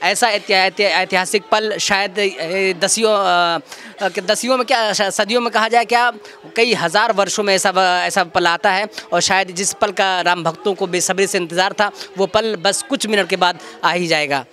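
Man rattling off big words, tripping over them, high bright voice. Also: fairly even intonation. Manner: rapid flowery phrasing with stumbles. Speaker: newsroom colleague interviewed.

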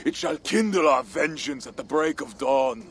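An actor playing a menacing, vengeful Viking warlord in a video game, with gravelly, theatrical oratory. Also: male voice, on the high side, animated, normal speed, a bit bright. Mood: mocking, menacing. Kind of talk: dramatic video game cutscene acting.

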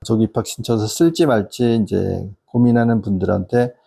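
A middle-aged man giving an informal, earnest explanation to parents as an educational consultant, wandering through his points with hesitant pauses. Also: on the low side, creaky, mellow, husky. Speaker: educational consultant and channel host.

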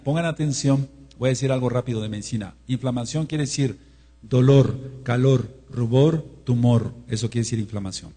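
A man preaching a sermon in an emphatic manner, rising to loud exclamations, with a husky voice. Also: mid-range voice. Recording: mains hum.

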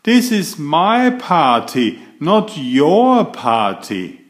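A man's voice: fairly high pitch, wildly swooping pitch, very slow, pitched above the performer's own voice, exaggerated voice. Man as an emphatic, exasperated young boy. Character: young boy, exasperated but polite big brother.

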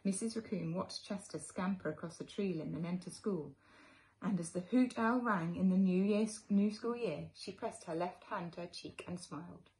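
A British woman in her thirties or forties, a teacher gently reading a children's storybook aloud at home. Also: on the low side, normal speed, rough recording. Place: living room at home.

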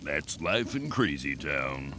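A man voicing a matter-of-fact jungle doctor in a cartoon, with a deadpan manner. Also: rumbling low, slow pace, noisy background, exaggerated voice.

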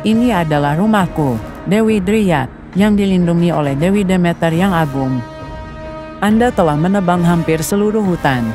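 A woman voicing a gentle, ancient female oak-tree spirit who pleads solemnly, in a dubbed cartoon. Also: fairly low pitch, lightly acted. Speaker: tree dryad.

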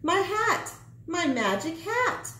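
A woman's voice: very high voice, very animated, slow, bright, forceful tone, reverberant, pitched above the performer's own voice, exaggerated voice. A woman voicing a chirpy, instructive male magic gnome from a children's storybook. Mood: alarmed. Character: male magic gnome, chirpy, matter-of-fact, a little sly.

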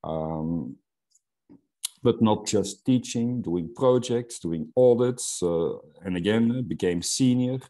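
Deep-voiced Belgian man, halting speech. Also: dark tone. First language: Dutch. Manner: hesitant, many fillers and restarts. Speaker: Salesforce instructor. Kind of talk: webinar presentation.

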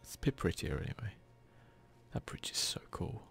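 A man giving soft-spoken, whispered ASMR commentary on a video game, murmuring casually as if to himself. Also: normal speed, soft background music. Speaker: gaming video host.

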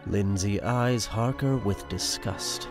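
A man's voice: fairly low pitch, slow, over music. A man narrating a radio play in the present tense with a conversational storytelling manner.

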